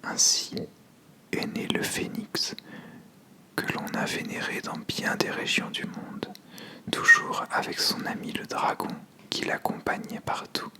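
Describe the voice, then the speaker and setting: A storyteller narrating a scripted fable softly and deliberately in an ASMR style.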